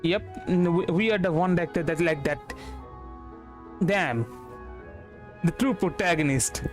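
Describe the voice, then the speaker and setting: A man with an Indian accent giving casual, halting live commentary as he streams a video game. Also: sits high. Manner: halting, rambling. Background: game music.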